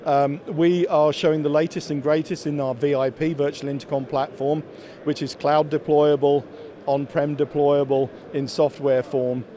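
A man's voice: fairly high pitch, level tone, normal speed, dark tone, a little hiss or hum. A man in his fifties explaining products as a company executive in a trade show interview, often restarting and repeating words. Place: busy exhibition hall. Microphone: handheld interview microphone held by interviewer.

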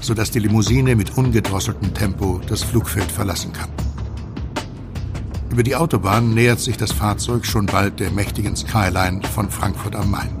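A man reading scripted audio drama narration in a measured, suspenseful way. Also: on the low side, steady tone, creaky, over music.

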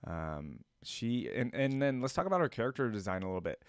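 A man's voice: medium pitch, big pitch swings, a little fast, smooth, no room sound. A young American man in his twenties giving casual reaction commentary as a video host, speaking close to the mic.

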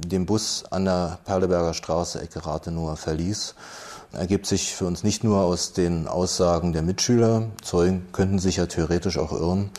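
Deep, dark-voiced police chief, a man. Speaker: police homicide squad chief, interviewee. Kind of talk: formal, factual interview statement.

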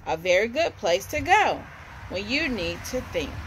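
A woman's voice: mid-range voice, sing-song, measured pace, a bit bright, slight background noise, low-quality recording. A woman in her thirties reading a children's story aloud on a porch. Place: outdoors on a covered house porch. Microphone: camera or phone at about arm's length.

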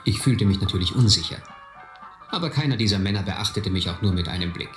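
A man's voice: lowish voice, animated, quick pace, close to an everyday voice. An actor playing a reflective, wry man who narrates memories of his boyhood in a radio play. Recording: roomy, music behind.

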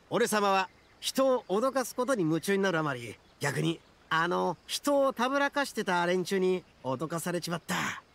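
Swaggering anime-game oni ruffian: boastful, bright gravelly voice, rough cocky delivery. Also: male voice, high-pitched, heavily acted. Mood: musing. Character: brash, boastful young male oni gang boss.

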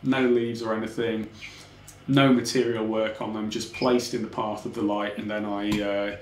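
A young man with a lowish voice walks through his 3D scene in an easy, informal tutorial, peppering his explanations with "kind of" and "um". He sits at a desk in a small home office, and the sound is roomy with a faint hum underneath. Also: little pitch movement, moderate pace. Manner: informal, technical, frequent "kind of" and "um" hedges. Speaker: 3D artist explaining his work. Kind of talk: relaxed screen-recorded tutorial walkthrough.

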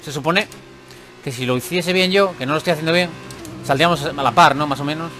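A man's voice: on the high side, fast talker, noisy background. A man giving casual, chatty gameplay commentary who swears and grumbles when things go wrong. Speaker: gaming YouTuber.

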